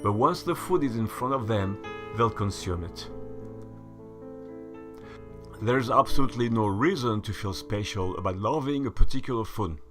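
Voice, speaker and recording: A man delivering a scripted voice-over essay in a measured, lecturing, mildly sardonic way. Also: mid-range voice, a bit bright. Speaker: channel host.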